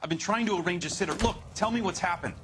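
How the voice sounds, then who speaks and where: A man's voice: high voice, fast, noisy background, natural voice. An actor playing an anxious, defensive husband and father in a video game, hesitant and plain-spoken. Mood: agitated. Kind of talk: video game cutscene acting.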